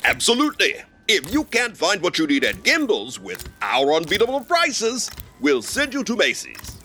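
A man acts a boastful department store owner in a radio comedy. His voice is high and bright with huge pitch swings, delivered like a hearty salesman over faint music. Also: huge pitch swings, moderate pace, full character voice. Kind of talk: comic radio play acting.

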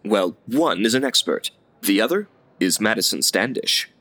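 A man's voice: lowish voice, expressive, normal speed, bright, forceful tone. A man acting a grand, polished narrator of a 1940s-style radio play, with scripted showman delivery. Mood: grand, wry. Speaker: announcer.

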